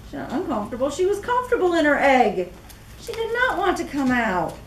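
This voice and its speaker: A woman's voice: high voice, very animated, fairly quick, recorded from a distance. An older woman reading a children's story aloud expressively through a face mask, with chatty asides. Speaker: storybook reader. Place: small classroom-like room, indoors. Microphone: camera a few metres away. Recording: echoey room, faint background noise.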